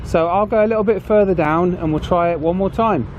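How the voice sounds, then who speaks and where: A man's voice: high voice, a little fast, dull audio, heavy background noise. A man hosting a casual hands-on demonstration, chatting and thinking aloud as he goes. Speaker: host of radio repair channel.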